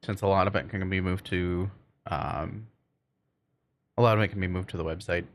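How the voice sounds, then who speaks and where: A man's voice: low voice, fairly even intonation, moderate pace, a little hiss or hum. A man streaming a game and chatting casually with viewers, rambling and often searching for his words. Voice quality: cannot roll R's. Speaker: game livestreamer. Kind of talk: casual livestream chat.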